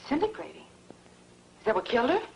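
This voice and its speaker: An actress playing a brisk, suspicious young woman with an American accent, in 1950s B-movie style. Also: fairly high pitch, wildly swooping pitch, creaky, darkish tone, muffled recording, a little hiss or hum, full character voice. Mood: probing.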